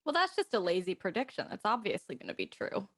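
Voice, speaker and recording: A woman's voice: mid-range voice, huge pitch swings, fast, fairly bright tone. A woman actor in casual, bantering group conversation. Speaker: voice actor in a radio drama cast.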